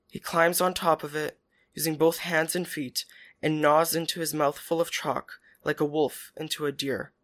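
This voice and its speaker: A man narrating a first-person story in a quiet, even storytelling manner. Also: on the high side.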